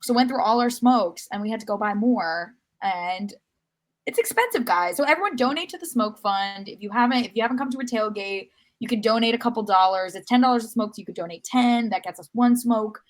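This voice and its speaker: A woman's voice: on the high side, brisk. A woman co-hosting a sports podcast, chatting casually and telling rambling, wry stories. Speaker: podcast co-host.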